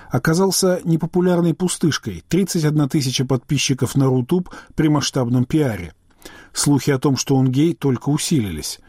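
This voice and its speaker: A man's voice: medium pitch, warm tone, dry recording, clean studio sound. A man reading a scathing post aloud, listing accusations.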